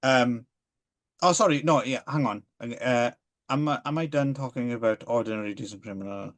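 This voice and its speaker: A man's voice: mid-range voice, normal speed. Rambling man in a film podcast chat. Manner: rambling, hesitant, full of asides. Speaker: podcast co-host. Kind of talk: casual chat about films.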